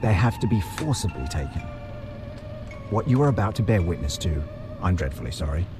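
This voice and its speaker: An actor playing a maniacal butcher crime boss in an audio drama, speaking with formal, theatrical, falsely courteous menace. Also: male voice, low voice, sing-song, fairly quick, music in the background. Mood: sinister. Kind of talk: audio drama villain monologue.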